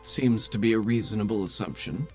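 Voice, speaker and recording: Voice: mid-range voice, a little fast, faint music behind, close to an everyday voice. A game master playing a cool, businesslike female contact who speaks in a dry, formal, wry manner.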